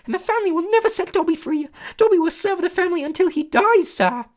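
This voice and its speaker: A woman voicing a tiny, servile male house elf with a high-pitched squeak, groveling and tearful in an audiobook reading. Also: expressive, fast. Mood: earnest pleading. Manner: groveling, wailing, overly formal.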